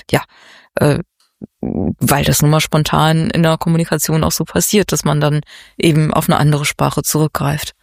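A woman's voice: low voice, gravelly, dry recording, studio quality. A woman speaking casually as an interview guest from an art collective.

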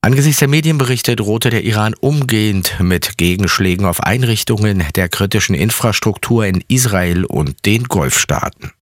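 A man reading a radio news bulletin and weather forecast in an even, formal manner. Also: on the low side, normal speed, few pauses, no room sound, clean studio sound. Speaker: news anchor. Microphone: studio microphone.

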